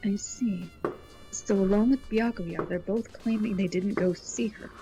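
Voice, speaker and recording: A woman with a mid-range voice joins a casual game playthrough. She switches between friendly chat and reading character dialogue aloud, with music low in the background. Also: unhurried. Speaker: co-player reading character lines in a group playthrough.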